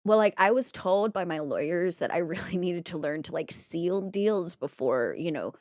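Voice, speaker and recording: A woman's voice: lowish voice, expressive, normal speed, a little mellow, no room sound, clean studio sound, natural voice. A woman playing a spoiled, flighty young vampire businesswoman in a tabletop game, with airy valley-girl filler and plenty of self-assurance. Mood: businesslike, halting. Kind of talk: improvised tabletop roleplay.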